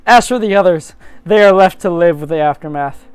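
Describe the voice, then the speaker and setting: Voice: mid-range voice, a little fast, clear, resonant tone, dry recording. An amateur narrator and co-host who reads a story dramatically and chats casually about it.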